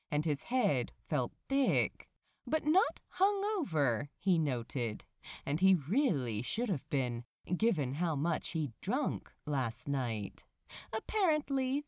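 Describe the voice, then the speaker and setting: A woman narrates fiction aloud in an expressive audiobook style. Her pitch rises and falls dramatically across phrases, and she reads at a slow, measured pace. The sound is dry, with hardly any room. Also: no room sound. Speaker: podfic reader, narrator.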